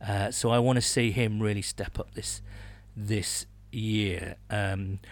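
A man co-hosting a sports podcast in casual chat, halting and often repeating his words as he goes. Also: fairly low pitch, fairly even intonation. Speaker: podcast co-host.

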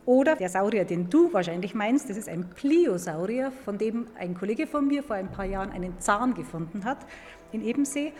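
A woman explaining science to a young listener in a clear, didactic way, stressing key words by repeating them. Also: medium pitch, expressive, normal speed, a little mellow, soft background music. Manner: clear, didactic, emphatic repetition. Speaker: museum paleontologist. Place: large echoing indoor museum hall. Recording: reverberant hall with background noise.

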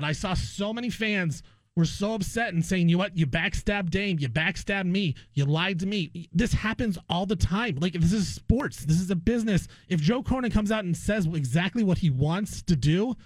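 A man's voice: high-pitched, dry recording. A man hosting a sports talk show, delivering an emphatic, argumentative opinion monologue and repeating phrases for effect. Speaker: sports radio host.

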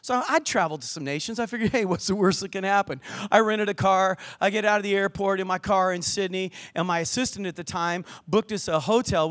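An older man preaching a lively sermon in church, mixing scripture with jokes and stories. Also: high voice. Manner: lively, joking preacher with asides to the crowd. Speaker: pastor. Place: church hall with balcony.

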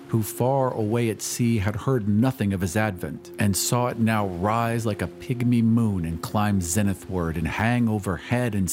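A man's voice: lowish voice, darkish tone. A man giving a dramatic scripted narration of a story, as in an audio drama. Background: music.

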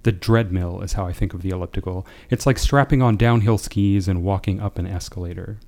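A man's voice: low-pitched, fairly quick, darkish tone. A man speaking as a guest writer, discussing and reading short fiction. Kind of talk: panel chat and reading own short fiction.

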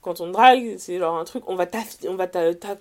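A young adult woman with a French accent from France speaking as an interview guest, in casual, slangy personal testimony, animated and often correcting herself mid-sentence. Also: lowish voice, moderate pace. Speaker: interview guest, writer and columnist.

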